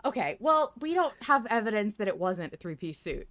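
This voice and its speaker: A woman's voice: medium pitch, sing-song, brisk, fairly bright tone. A woman hosting a casual podcast chat, emphatic and playfully argumentative, swearing freely. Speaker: podcast host. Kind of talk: casual fan discussion chat.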